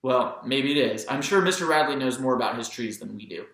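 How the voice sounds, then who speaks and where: A man voicing a calm, reasonable middle-aged father in an audiobook, with a measured, reassuring manner. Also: mid-range voice. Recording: very echoey room.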